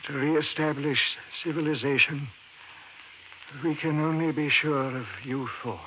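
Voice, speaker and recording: Actor as frail dying professor, muffled old radio. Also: male voice, fairly high pitch, steady tone, a little hiss or hum, close to an everyday voice. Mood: earnest, reassuring. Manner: halting, urgent. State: weak, failing. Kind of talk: old-time radio drama acting.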